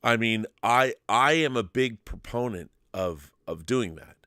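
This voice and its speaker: A man's voice: medium pitch, animated, measured pace, sharp tone, dry recording, studio quality. A middle-aged man hosting a talk show, giving his opinions emphatically in casual discussion and often repeating words as he gets going.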